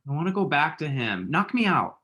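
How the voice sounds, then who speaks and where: A man's voice: a little high, sing-song, brisk, sharp tone. A young man, played by an actor at a table read, who is lovesick and proudly messy about it. He banters in a campy, self-mocking way, in a conversational comedy style. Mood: dramatic pining. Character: Eli, lovelorn self-mocking young man, dramatic best friend. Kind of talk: conversational comedy screenplay reading.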